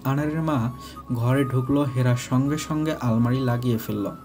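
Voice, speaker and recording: A man's voice: mid-range voice, moderate pace, over music. A man narrating a scripted romantic story.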